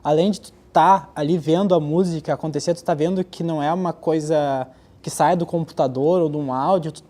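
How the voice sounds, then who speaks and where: Mellow man, casual talk. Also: a little high, steady tone, brisk. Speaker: musician. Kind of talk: casual interview.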